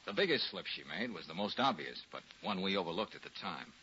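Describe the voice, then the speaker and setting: An actor playing a confident, wry private detective in a 1940s radio drama, explaining things knowingly. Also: male voice, deep voice, big pitch swings, fairly quick, sharp tone, exaggerated voice. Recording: muffled, noisy 1940s radio recording.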